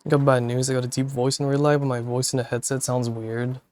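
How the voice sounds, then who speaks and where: A man chatting casually in a relaxed, rambling way, swearing freely and chuckling now and then, speaking into a cheap wireless headset mic held far from his mouth. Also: medium pitch, brisk, no echo. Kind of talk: casual chat.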